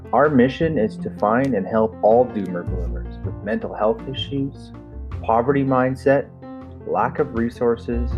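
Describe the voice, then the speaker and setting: Lo-fi male intro announcer over guitar. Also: medium pitch. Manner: measured promo delivery. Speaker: podcast announcer. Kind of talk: scripted intro read. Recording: dull lo-fi read over guitar.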